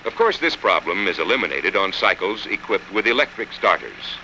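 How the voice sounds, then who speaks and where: An American man reading scripted instructional narration for a training film in a measured, instructive manner. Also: fairly low pitch, big pitch swings, pushed and bright, poor audio. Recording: 1960s film soundtrack, faint noise.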